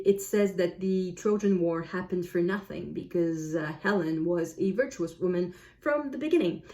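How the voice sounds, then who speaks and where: A woman's voice: mid-range voice, mellow. A woman with a French accent and French as her native language gives a casual book review, explaining reflectively and often correcting herself.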